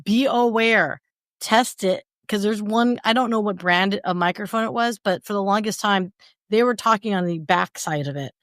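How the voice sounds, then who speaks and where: A woman's voice: medium pitch, moderate pace, fairly bright tone, dry recording, studio quality. A woman co-hosting a casual podcast, blunt and jokey, with crude asides, speaking into a desk mic. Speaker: podcast co-host. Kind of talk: casual chat. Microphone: desk mic about seven inches away.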